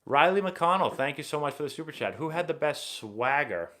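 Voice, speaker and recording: A young man hosting a live baseball stream, chatting casually and rambling excitably as he answers viewer questions. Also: on the high side, a little fast. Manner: rambling and excitable, many fillers and restarts.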